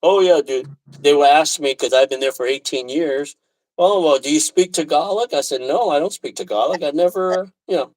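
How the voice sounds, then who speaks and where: Higher-voiced man chatting casually, halting delivery. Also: darkish tone, low-quality recording. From Taiwan, left as a little boy. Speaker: live stream host. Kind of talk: casual live chat with viewers.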